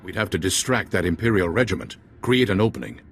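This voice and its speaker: An actor playing a male officer giving briefings in a game cutscene, in a brisk, businesslike way. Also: sits low, lively intonation. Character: male Republic officer, brisk military planner. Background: game music.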